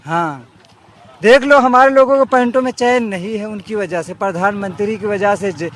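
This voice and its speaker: A man's voice: high-pitched, moderate pace, a bit bright. A man being interviewed on the street, complaining in an aggrieved, repetitive way. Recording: street interview with faint background noise.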